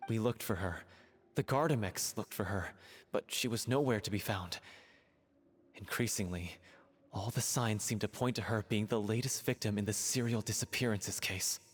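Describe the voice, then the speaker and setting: A man's voice: fairly low pitch, moderate pace, long reverb tail, natural voice. A man voicing a grieving young troupe actor. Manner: earnest, heartfelt. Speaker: troupe member in love with the late director. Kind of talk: game voice acting.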